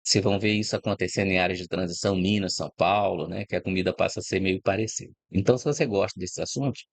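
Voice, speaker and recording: Brief: middle-aged Brazilian man, low voice, dry close recording, relaxed explainer who keeps checking the listener follows. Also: quick pace, creaky, husky, no room sound. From Brazil, born in Rio de Janeiro. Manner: reflective, explanatory, frequent "né?" and "tá?" tags. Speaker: host of a channel about food and agribusiness. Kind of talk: informal spoken talk to camera.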